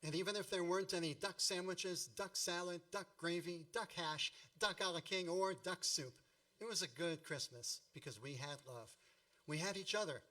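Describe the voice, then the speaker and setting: A man acting as a nostalgic, grandiloquent storyteller in a radio play. His voice is fairly high and bright, wry in delivery, and a little distant from the microphone. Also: normal speed. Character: grown man wryly, nostalgically recalling his boyhood Christmas. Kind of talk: scripted radio play narration.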